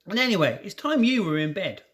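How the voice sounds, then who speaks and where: A man's voice: high-pitched, big pitch swings, a little fast, a bit bright. A man voicing a sensible, motherly and mildly bossy older schoolgirl in a storybook reading. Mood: prim.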